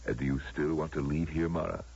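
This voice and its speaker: An actor playing a solemn, knowing man who grants wishes, gravelly and persuasive in a radio drama style. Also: a bass voice, darkish tone, heavily acted. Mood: musing. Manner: measured, grave, persuasive, theatrical. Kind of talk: American radio drama acting. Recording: muffled, lo-fi old radio broadcast.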